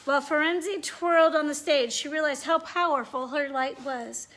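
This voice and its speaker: Woman doing storytime and chatty demos for kids, high bright voice, asks the kids questions. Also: steady tone, normal speed. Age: adult. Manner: asks listeners questions, addresses children directly. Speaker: read-aloud host for children. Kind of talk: children's read-aloud and chatty demonstration.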